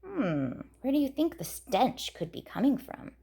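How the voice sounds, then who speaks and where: A woman's voice: high voice, very animated, unhurried, gravelly, mellow, breathy edge. A woman storyteller reading a rhyming picture book aloud to children in a dramatic, emphatic way.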